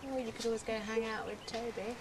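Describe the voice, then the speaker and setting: A woman's voice: fairly high pitch, level tone, fast, lightly acted. An actress playing a friendly, casually inviting young woman resident in a film-style game. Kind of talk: naturalistic screen acting in an interactive film game. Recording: quiet game audio under loud noise.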